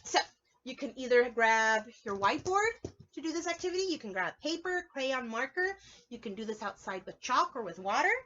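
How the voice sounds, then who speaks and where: A woman's voice: a little high, sing-song, a little fast, bright, forceful tone, muffled recording, slight background noise. A woman preschool teacher reading aloud and leading a drawing lesson for young children, playful and encouraging, often asking her listeners questions.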